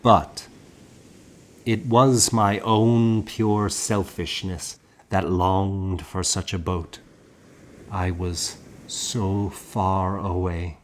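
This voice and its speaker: Slow, low-voiced male audiobook narrator. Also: steady tone, slight background noise. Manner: measured, solemn storytelling. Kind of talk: scripted first-person literary narration of a historical novel.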